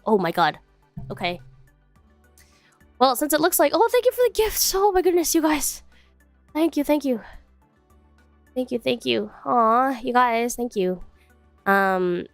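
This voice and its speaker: A chatty young woman talks casually to her viewers, her voice a little congested from a cold but still smooth and clear. She is close to a dry microphone, with soft music playing underneath. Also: fairly high pitch, no echo. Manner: chatty, drifts between topics, frequent fillers. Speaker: streamer. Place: home. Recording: dry close mic, faint music behind.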